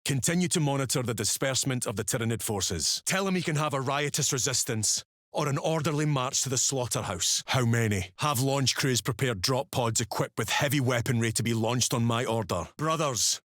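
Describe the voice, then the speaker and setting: A man's voice: mid-range voice, fairly quick, fairly bright tone, no echo, clean studio sound, lightly acted. Man playing a stern, commanding space soldier captain. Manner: clipped, authoritative, formal military delivery. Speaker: military commander. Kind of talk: dramatic video game voice acting.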